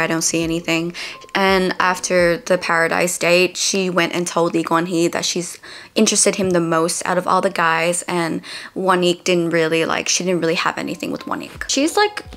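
A woman with a raspy, hoarse voice giving an opinionated, casual recap as a YouTuber, with lots of "like" and "um" fillers. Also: on the low side. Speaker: YouTuber recapping a dating show.

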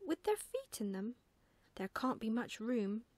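Woman acting a young boy owl, storybook, high voice, earnest, childlike, easily huffy. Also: big pitch swings, measured pace, darkish tone, no room sound. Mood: puzzled. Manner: childlike questioning, indignant protests. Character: young male barn owl, earnest, naive, easily cross.

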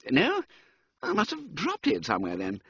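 A man voicing a genial, dithery young gentleman who stammers and hesitates through upper-class slang. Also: high-pitched, huge pitch swings, moderate pace, vocal fry, breathy edge, pitched above the performer's own voice, exaggerated voice. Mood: flustered. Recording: dull, dry, muffled sound.

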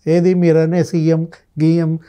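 High-pitched, mellow middle-aged man. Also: completely flat delivery, slow, clean tone. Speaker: senior journalist, guest analyst. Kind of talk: conversational political commentary. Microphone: lapel mic.

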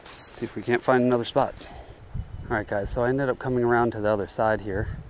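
A man's voice: mid-range voice, brisk, background noise. A man vlogging while fly fishing on a riverbank, chatting casually to viewers and explaining his casting. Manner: relaxed, chatty, addresses viewers as guys. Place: outdoors on a riverbank. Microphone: head-mounted action camera.

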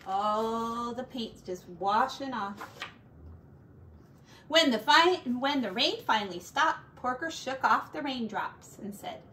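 A middle-aged woman reading a picture book aloud to children like a teacher, with deliberate, drawn-out words and little asides. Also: a little high, lively intonation, bright, forceful tone, slight background noise, low-quality recording. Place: small furnished room at home.

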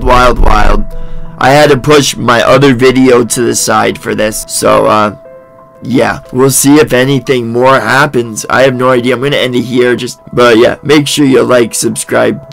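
A man's voice: medium pitch, creaky, fairly bright tone, rough recording. A man with a stuffed-up nose giving mocking reaction commentary, laughing often. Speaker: commentary host. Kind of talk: reaction commentary over a stream clip. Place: voice-over, not the pictured room.

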